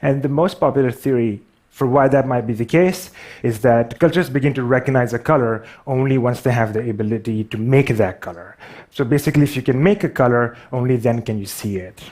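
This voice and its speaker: A man's voice: medium pitch, a little fast, darkish tone. Self-deprecating Pakistani-accented man giving a talk. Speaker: public speaker. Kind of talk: personal storytelling talk to an audience.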